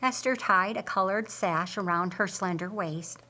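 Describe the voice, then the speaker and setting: A woman reading a scripted story as an audiobook narrator in a measured, deliberate way. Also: mid-range voice, pushed and bright.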